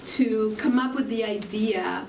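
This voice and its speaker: A woman with an Argentine Spanish accent giving an inspirational sermon, slow and reflective, asking her listeners questions. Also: medium pitch, vocal fry, darkish tone, a little hiss or hum. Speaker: spiritual talk speaker. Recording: roomy, from far off, faint ambience.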